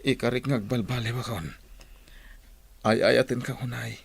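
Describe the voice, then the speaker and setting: Gravelly pleading village husband played by a man. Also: mid-range voice, steady tone, normal speed. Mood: subdued, bitter. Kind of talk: radio drama acting in Ilocano.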